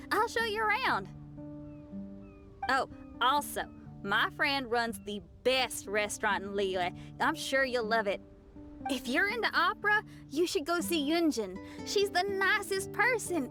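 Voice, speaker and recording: A woman's voice: high-pitched, big pitch swings, moderate pace, music in the background. A woman playing a friendly, outgoing young adventurer in a video game, casual and enthusiastic. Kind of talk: video game cutscene acting.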